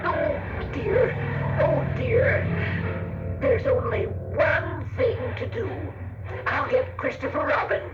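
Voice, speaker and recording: A man's voice: very high-pitched, expressive, pitched above the performer's own voice, full character voice. A man voicing a fussy, flustered rabbit who frets and draws out his words on a children's storybook tape. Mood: worried. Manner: fretful, drawn-out. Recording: dull cassette with music, roomy, distant.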